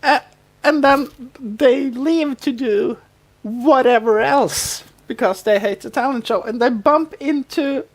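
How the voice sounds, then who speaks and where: A woman co-hosting a podcast, chatting informally about a TV show and explaining things in a halting, conversational way. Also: high-pitched. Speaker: podcast co-host. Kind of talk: casual chat about a TV show.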